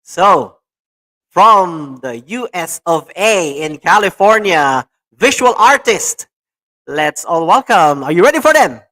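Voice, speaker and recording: A man's voice: high voice, very animated, slow, bright, forceful tone. A man hosting an online talk show, enthusiastic and repetitive, with many fillers. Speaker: show host.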